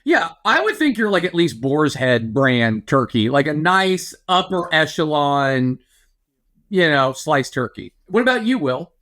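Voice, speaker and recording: A man's voice: fairly high pitch, sing-song, measured pace, a bit bright. A man co-hosting a podcast in casual chat, riffing playfully. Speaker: podcast co-host.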